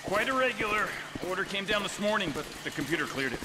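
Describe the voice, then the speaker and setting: Polite bank official, noisy surroundings. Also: male voice, high voice, animated, fast. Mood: matter-of-fact. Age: middle-aged. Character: polite, chatty middle-aged bank vault official in a suit. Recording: game audio with loud vehicle ambience.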